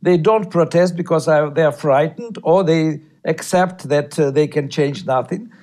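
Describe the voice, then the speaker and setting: Interview guest: man, somewhat high-pitched, measured, halting delivery. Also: darkish tone. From Ukraine. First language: Russian. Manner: measured, with frequent hesitations and repeated words. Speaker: guest, writer. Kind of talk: interview answers.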